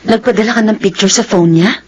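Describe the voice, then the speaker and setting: An actress playing a frank, practical nurse and confidante in an audio drama, with a husky, concerned voice. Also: female voice, medium pitch, brisk, natural voice. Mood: concerned, firm.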